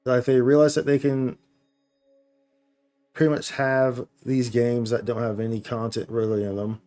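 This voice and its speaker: Man, game streamer, mellow tone, casual slangy chat, playful, rambling, repeats himself, faint music behind. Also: medium pitch, little pitch movement, moderate pace.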